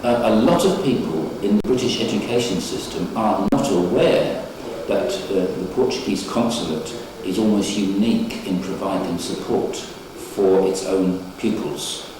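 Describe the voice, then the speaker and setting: A man giving an unscripted testimonial in a measured, formal and slightly hesitant way. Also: low voice. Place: echoing indoor room. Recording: very echoey, distant microphone, noisy background.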